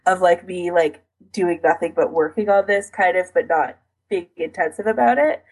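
A woman's voice: fairly low pitch, moderate pace, a little mellow, husky, poor audio. A woman co-hosting a podcast in casual chat, recounting things in detail with a self-deprecating streak. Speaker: podcast co-host.

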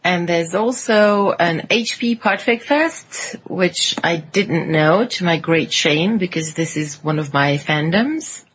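A woman's voice: on the low side, expressive, slow pace, hardly any pauses, fairly bright tone, slight background noise, rough recording. A woman co-hosting a fan podcast, presenting items in a conversational way. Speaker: podcast co-host.

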